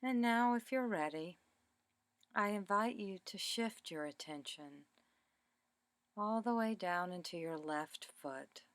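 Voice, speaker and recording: A woman leading a guided meditation in a calm, deliberate way, with measured phrases and pauses. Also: medium pitch, dry recording. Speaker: meditation teacher. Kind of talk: guided meditation, body scan narration.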